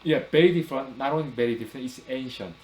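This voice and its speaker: A man's voice: mid-range voice, very fast, a little mellow. Middle-aged guy hosting, curious, lots of uh-huhs, echoey room. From South Korea. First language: Korean. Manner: curious, asks many questions, backchannels often. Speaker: podcast host. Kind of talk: casual conversation.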